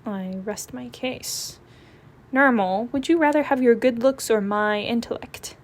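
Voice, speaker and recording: A woman's voice: a little high, a little slow. A woman voicing a smug, lazy, sarcastic male cat from a comic, with a dry delivery. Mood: deadpan.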